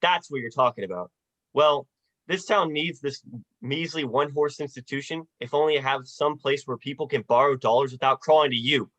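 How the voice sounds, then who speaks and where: A man's voice: medium pitch, brisk, a bit bright, no echo, close to an everyday voice, rough recording. Man acting earnest, stammering young small-town man. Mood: impassioned, indignant. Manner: impassioned, stammering, folksy. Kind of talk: 1940s radio drama acting.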